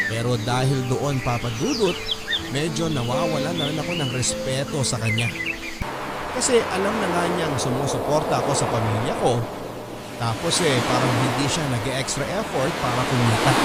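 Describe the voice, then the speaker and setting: Brief: male narrator, confiding story read, mid-pitched, loud music underneath. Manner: confiding, addresses the listener directly. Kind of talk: dramatic first-person story reading.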